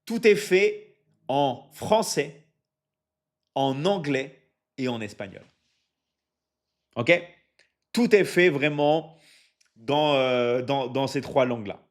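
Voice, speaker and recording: A man from France coaching and selling on a live stream, energetic and repetitive, with lots of fillers and frequent check-ins to his viewers. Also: mid-range voice. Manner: energetic, repetitive, drops many fillers and asks "ok? d'accord?". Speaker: online academy coach hosting a live stream. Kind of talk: live stream sales pitch reading chat.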